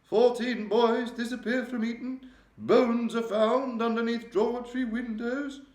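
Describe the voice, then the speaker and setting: A man's voice: very high-pitched, steady tone, unhurried, darkish tone, pitched above the performer's own voice. A man voicing an elderly queen. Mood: alarmed, regal. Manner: refined, upper-class, exclaiming. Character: elderly queen, regal, shaken by a nightmare. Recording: roomy echo.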